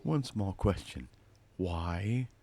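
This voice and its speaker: A man's voice: low-pitched, lively intonation, extremely slow, dark tone, voice pushed up, heavily acted. A man voicing a charming, cocky roguish thief from a video game, flippant and teasing. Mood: cocky, wary.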